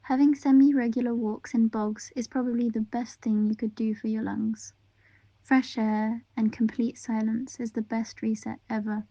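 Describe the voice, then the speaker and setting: A woman reading interview answers aloud in the first person, in an even, gentle and unhurried way. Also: fairly high pitch, no room sound, low-quality recording.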